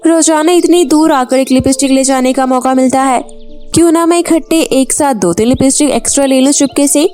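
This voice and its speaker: A woman voicing a greedy, excitable girl in a children's moral story, with an eager, dramatic manner. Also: high-pitched, fairly quick, soft background music, pitched above the performer's own voice, lightly acted. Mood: scheming. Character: greedy, excitable girl craving riches and beauty.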